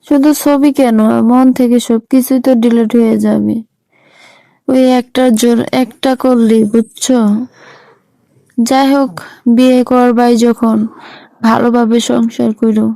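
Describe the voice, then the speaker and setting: Heartbroken young Bangladeshi sweetheart played by a woman, reproachful, mellow, very dry close sound, phone-call drama. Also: fairly high pitch, fairly even intonation, unhurried, vocal fry, long pauses, no room sound. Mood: bitter. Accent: Bangladeshi Bengali. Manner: reproachful, plaintive.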